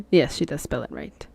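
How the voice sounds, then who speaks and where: A woman's voice: mid-range voice, gravelly, dark tone, husky, no echo. A Mexican American woman whose first language is Spanish, chatting casually with her live chat while she stitches and laughing often. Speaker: cross-stitch livestream host.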